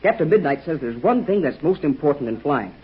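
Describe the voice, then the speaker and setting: Cheerful boy sidekick, bright and animated, husky, dull radio sound. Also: fairly high pitch, a little fast, full character voice. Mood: eager. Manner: cheerful, eager, wholesome. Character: earnest young American boy sidekick. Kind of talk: 1940s radio acting. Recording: muffled old radio recording.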